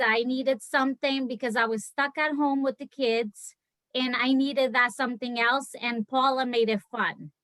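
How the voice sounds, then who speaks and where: Portuguese-accented woman, 40s, unhurried, dry home-room video call. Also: high-pitched, sharp tone, no echo. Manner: chatty, laughs often, many fillers. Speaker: guest speaker on a team video call. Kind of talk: casual personal story.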